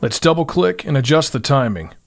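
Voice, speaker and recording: A man's voice: mid-range voice, very animated, a little fast, gravelly. A man hosting a music production tutorial, narrating step-by-step instructions in short, simple phrases.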